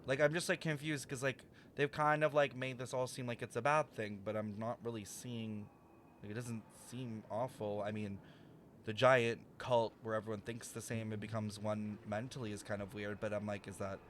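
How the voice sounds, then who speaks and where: A man's voice: fairly low pitch, little pitch movement, moderate pace, slight background noise. Puzzled young-sounding man thinking aloud. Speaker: streamer reacting to a story game. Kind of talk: casual commentary over gameplay.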